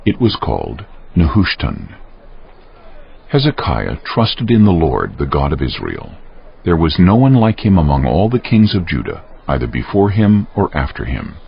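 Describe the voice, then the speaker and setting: A man narrating scripture from a script in a solemn, measured, dramatic storytelling style. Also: a bass voice, huge pitch swings, vocal fry, a little hiss or hum. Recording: dull lo-fi audio, faint music underneath.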